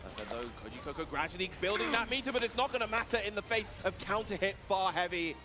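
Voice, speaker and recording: A man's voice: high voice, animated, fast. A man giving analytical live commentary on a fighting game tournament as a co-commentator. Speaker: esports co-commentator. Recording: music behind the voice.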